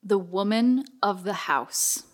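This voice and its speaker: A woman performing as a wry, ominous gothic storyteller, narrating a scripted radio drama in a measured, literary way. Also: mid-range voice, lively intonation. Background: prominent music.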